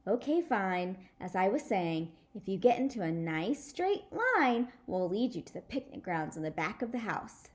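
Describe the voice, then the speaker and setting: A woman voicing a polite, formal father making measured announcements to children in a storybook. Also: mid-range voice, big pitch swings, lightly acted. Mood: strained patience. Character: formal, patient father addressing a party of children.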